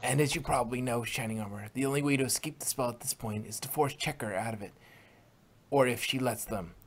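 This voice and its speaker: A grave, knowing pony healer who explains things slowly and seriously, read by a man in his own slightly husky voice. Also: mid-range voice, close to an everyday voice.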